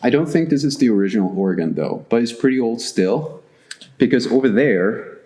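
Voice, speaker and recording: A man's voice: mid-range voice, animated, normal speed, dark tone. A man from Chile chatting casually and hesitantly, with lots of fillers, in a large empty church sanctuary. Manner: hesitant, many fillers and restarts. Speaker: guest artist. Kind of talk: casual conversation. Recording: echoey large room.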